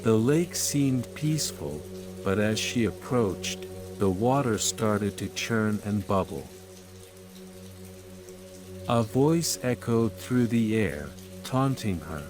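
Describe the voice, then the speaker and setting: A man reads a bedtime fairytale at a very unhurried pace, in a fairly low voice. His delivery is measured and soothing. Calm music and falling rain play clearly behind him. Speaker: bedtime story narrator. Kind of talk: slow scripted bedtime story narration.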